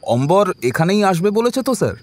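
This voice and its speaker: A man's voice: high-pitched, sing-song, a little fast. An actor in an audio drama playing a respectful junior police officer reporting matter-of-factly to his superior.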